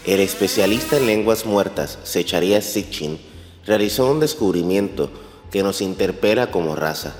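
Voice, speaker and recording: Deep, reverberant male narrator. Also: normal speed. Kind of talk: scripted documentary narration. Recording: reverberant voice over music.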